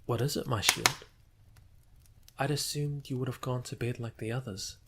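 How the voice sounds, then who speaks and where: Man as wounded fantasy lord, medium pitch, gentle, formal, hesitant, roleplay audio with faint noise. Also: steady tone, normal speed, close to an everyday voice. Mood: flustered. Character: soft-hearted, wounded young fantasy lord.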